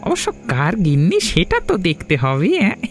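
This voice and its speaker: A man voicing an excitable, scheming young village husband in a cartoon, wheedling and quick. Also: on the high side. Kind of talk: cartoon dubbing. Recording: faint music behind.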